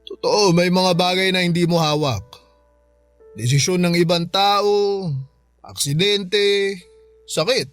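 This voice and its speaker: A man's voice: high-pitched, takes it slowly, fairly bright tone, soft background music. A man playing a tipsy, lovelorn middle-aged uncle with a Filipino accent, reflective and emphatic, in a radio drama. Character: tipsy, lovelorn uncle abandoned by his partner. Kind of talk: Tagalog radio drama acting.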